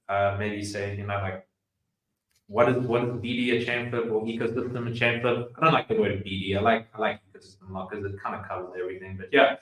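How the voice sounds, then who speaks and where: A man with a South African accent hosting a casual podcast chat, relaxed and chatty with lots of fillers. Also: fairly low pitch, reverberant, poor audio. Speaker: podcast host.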